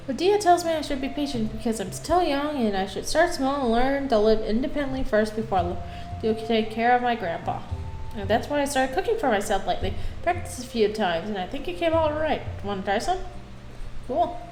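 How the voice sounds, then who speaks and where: High-voiced woman streamer in a roomy space. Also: expressive, brisk, darkish tone. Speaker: game livestreamer. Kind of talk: casual game stream commentary, reading dialogue aloud. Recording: roomy echo, music behind.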